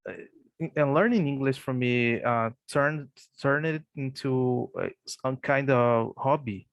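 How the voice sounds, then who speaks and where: A Brazilian man, a native Portuguese speaker, speaks English with a Portuguese accent in a casual practice conversation. He talks slowly and hesitantly, full of fillers and restarts. The sound is dry and close. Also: medium pitch, no echo, lo-fi sound. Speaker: English learner.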